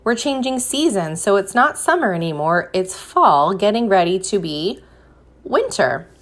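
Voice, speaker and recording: A woman reading a picture book aloud like a teacher, pausing to put questions to young listeners and encourage them. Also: mid-range voice, sing-song, a bit bright. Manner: slow, deliberate, encouraging prompts.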